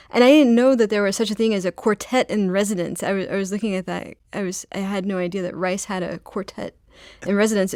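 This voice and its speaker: A woman's voice: medium pitch, darkish tone, no room sound. A woman hosting a radio interview in a studio, asking curious, upbeat questions. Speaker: radio show host.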